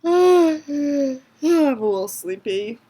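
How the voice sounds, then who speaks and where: A woman's voice: high voice, lively intonation, drawn-out words, warm tone. A woman giving a mocking dramatic reading of a story, dropping in sarcastic, theatrical casual asides. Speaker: host reading a story aloud with commentary. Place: small room in an apartment.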